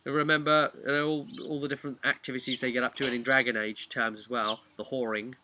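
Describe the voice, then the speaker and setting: A man giving an informal, animated, chatty explanation of tabletop roleplaying rules. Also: medium pitch, quick pace, a little hiss or hum. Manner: animated, chatty, acts out imagined player remarks. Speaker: tabletop game master giving advice.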